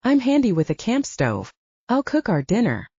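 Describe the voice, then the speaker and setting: A woman's voice: a little high, wildly swooping pitch, normal speed, warm tone, breathy edge, no echo, dull audio, voice pushed up, heavily acted. A woman voicing a plucky, argumentative young woman with a wry, persistent manner in an audiobook romance. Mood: brisk, stubborn.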